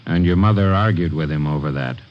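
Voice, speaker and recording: An actor playing a brisk, fatherly police captain in an old radio drama, asking terse, authoritative questions. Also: low voice, natural voice. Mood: probing. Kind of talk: 1940s-50s radio drama acting. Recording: dull, noisy vintage radio recording.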